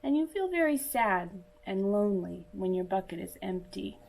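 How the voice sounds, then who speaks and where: A woman reading a picture book aloud to young children in a deliberate, teacherly manner, as if speaking directly to her listeners. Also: mid-range voice, expressive, creaky, a little mellow, rough recording. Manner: asks listeners questions and pauses for answers. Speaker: children's story reader.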